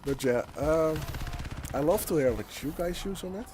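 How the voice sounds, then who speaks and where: Young Dutch-accented gamer, halting voice-over with restarts, gunfire noise under it. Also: male voice, a little high, animated, darkish tone. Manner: rambling, hesitant, many restarts. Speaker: gaming YouTuber. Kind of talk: gameplay commentary voice-over. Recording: game gunfire and noise behind.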